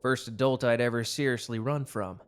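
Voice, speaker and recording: A man's voice: mid-range voice, normal speed, smooth, natural voice. Man acting a devoted, protective young mafia lover. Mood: tender, reflective. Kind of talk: intimate romantic audio roleplay.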